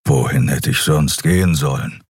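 A man voicing a taciturn male Skria creature who grumbles in a low, growling voice, curt and deadpan. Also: normal speed, breathy edge, no room sound, clean studio sound.

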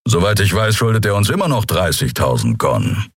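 A man puts on a growly, hooded alien henchman for an audiobook. He is sardonic and menacing, with a husky edge, recorded very dry. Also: fairly low pitch, sing-song, a little fast, no echo, heavily acted. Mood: needling. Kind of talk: audiobook character voice.

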